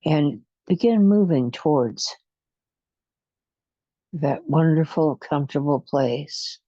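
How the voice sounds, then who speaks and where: A guide leading a guided imagery relaxation session, speaking in a soothing, hypnotic way with long pauses and slow counting, on a dull lo-fi recording. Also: medium pitch, animated, warm tone, no echo.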